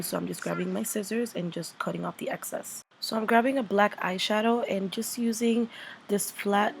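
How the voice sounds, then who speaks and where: A woman's voice: mid-range voice, gravelly. A young woman with a voice worn down by a cold, chatting her way through a makeup tutorial as a voice-over. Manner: chatty, playful, narrating each step. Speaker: makeup artist. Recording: voice-over with loud background music. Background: music.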